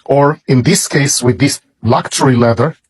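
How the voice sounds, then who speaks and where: A man with a Hebrew accent, a native Hebrew speaker, slowly and reverently showing off a bible as a product. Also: medium pitch, husky. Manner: slow, deliberate, reverent. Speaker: seller presenting a bible. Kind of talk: product demonstration.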